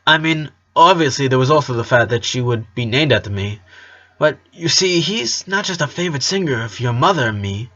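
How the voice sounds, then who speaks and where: Man as middle-aged storybook father, easygoing, joking, explanatory, medium pitch, dull lo-fi sound. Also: animated, fairly quick, sharp tone. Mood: easygoing, reminiscing. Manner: chatty, explanatory, teasing.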